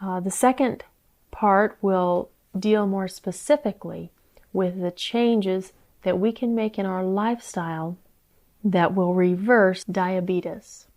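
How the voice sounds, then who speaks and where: Female health lecturer, mid-pitched, explanatory delivery, plain-spoken and didactic. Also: steady tone, slow, a little mellow.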